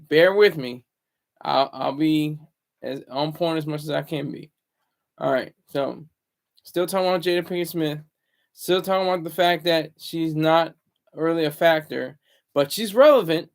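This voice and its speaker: A man hosts a casual livestream from home, talking in a deliberately hushed, lowered voice. His tone is gravelly with a slightly raised pitch for a man, and he often stumbles and repeats words as he chats with viewers. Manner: deliberately low, hushed delivery. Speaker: livestream host. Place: home, room indoors.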